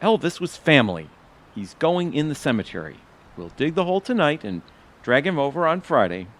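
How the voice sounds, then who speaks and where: A man acting an excitable, melodramatic husband in an audio theatre comedy, with dramatic, exclamatory delivery. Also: a little high, brisk. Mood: sad, determined. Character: excitable, melodramatic husband grieving his pet pony.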